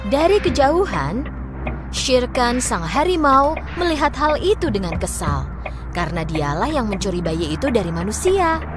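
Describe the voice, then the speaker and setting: A woman tells a children's story in a fairly high voice, with very animated intonation. Her phrasing is clear and expressive, and music plays behind her. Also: moderate pace. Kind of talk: scripted children's story narration.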